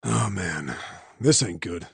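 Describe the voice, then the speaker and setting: A man voicing a gruff, big middle-aged working man in an anime-style game. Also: low-pitched, animated, takes it slowly, dry recording, full character voice. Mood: grumbling.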